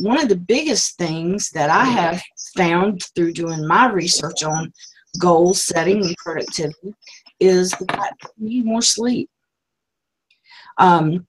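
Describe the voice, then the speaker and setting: Lowish woman hosting a live stream. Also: rough recording. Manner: casual, rambling, many ums. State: losing her voice. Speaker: host. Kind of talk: live-stream business talk.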